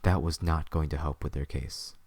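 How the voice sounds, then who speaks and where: A man's voice: very deep voice, fairly even intonation, normal speed, darkish tone, dry recording, studio quality. A man narrating a scripted story for an audio drama.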